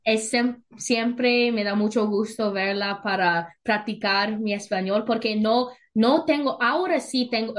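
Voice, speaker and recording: A young woman hosts a relaxed podcast chat in Spanish, her second language after English. Her voice sits a little high, and she often pauses and starts over mid-sentence. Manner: hesitant, restarts often. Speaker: podcast host. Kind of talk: casual chat in learner Spanish.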